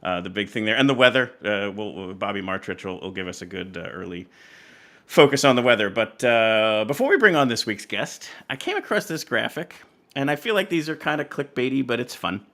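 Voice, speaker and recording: A man's voice: lowish voice, very animated, brisk, fairly bright tone. A man hosting a casual chat podcast, talking in a rambling, hesitant way and often interrupting himself. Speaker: podcast host.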